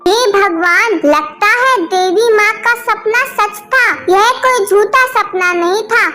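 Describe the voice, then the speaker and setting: A very high, bright voice from a woman actor plays a kind young girl bird in a children's cartoon. She speaks slowly, sweet and earnest, always ready to help. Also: faint music behind, exaggerated voice. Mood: wonder, delight. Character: kind, helpful young female bird with magic touch. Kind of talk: children's animated story dialogue.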